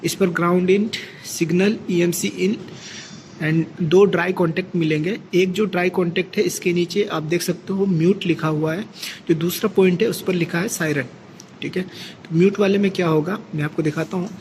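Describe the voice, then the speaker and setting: A man's voice: high-pitched, fairly even intonation, normal speed, darkish tone. A man with an Indian accent giving a hands-on, step-by-step equipment tutorial as a technician, often adding a short check-in tag. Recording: clearly noisy amateur recording with ambient sound.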